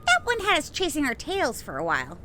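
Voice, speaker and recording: Excitable audio drama ally, played by a woman: high, bright, brisk, faint ambience behind. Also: faint music behind, heavily acted.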